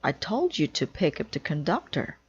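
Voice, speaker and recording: A woman reading a children's storybook, voicing a flustered, bossy married lady who scolds briskly. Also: deep voice, huge pitch swings, lo-fi sound, a little hiss or hum. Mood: dismayed, scolding. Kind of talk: children's storybook read-aloud.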